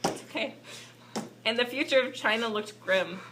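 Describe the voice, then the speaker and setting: A woman's voice: fairly high pitch, moderate pace, close to an everyday voice. A woman playing a patient puppet history teacher, delivering a scripted lesson to an unruly class in an amateur show. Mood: soothing, narrating. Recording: faint background noise, amateur recording.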